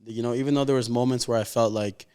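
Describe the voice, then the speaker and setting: A man's voice: mid-range voice, steady tone, quick pace, mellow, clean tone. A man, chatting casually and earnestly in an interview, with informal slang and lots of "like". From raised in Calgary, Canada. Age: 20s. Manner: informal, slangy, heartfelt, heavy use of "like". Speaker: professional footballer, interview guest.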